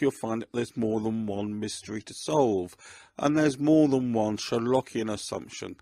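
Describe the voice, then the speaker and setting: A man speaking as an author and host, talking reflectively about his own books in a measured, deliberate, gravelly and husky voice. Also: lowish voice, no echo, clean studio sound.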